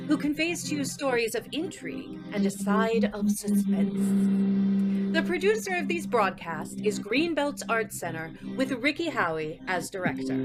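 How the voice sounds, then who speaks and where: Music going, lady playing a mysterious suspense narrator, slow and swoopy, old radio style, dramatic. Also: medium pitch. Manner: dramatic, measured. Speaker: announcer.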